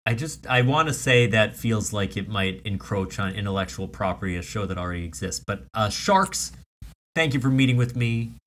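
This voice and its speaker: Мужчина, ведущий комедийного подкаста. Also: male voice, fairly low pitch, animated, normal speed, slight background noise. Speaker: comedy podcast host. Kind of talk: casual comedic banter.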